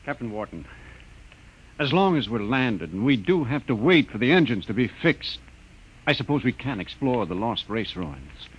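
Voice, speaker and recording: An actor playing a mild, polite, hesitant civilian passenger aboard a spaceship in old-time radio science fiction. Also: male voice, mid-range voice, sing-song, normal speed, husky. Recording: muffled, noisy old radio recording.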